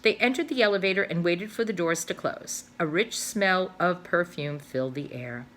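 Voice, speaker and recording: A woman, a teacher, reading a children's novel aloud with expressive storytelling. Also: on the low side, normal speed, a little hiss or hum.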